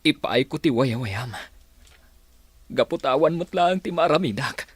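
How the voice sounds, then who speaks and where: A man's voice: on the high side, animated, a little slow, slightly rough, no room sound. An actor with a northern Philippine accent playing a heartbroken, reproachful young man in an emotional, pleading radio melodrama style. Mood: anguished, pleading.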